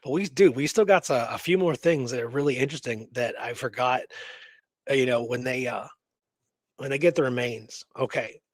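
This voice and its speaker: A man hosting a talk show, discussing history in an animated, informal, conversational way. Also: medium pitch, moderate pace, no echo. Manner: animated, informal, peppers talk with "you know what I mean". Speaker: show host. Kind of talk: casual history discussion and storytelling.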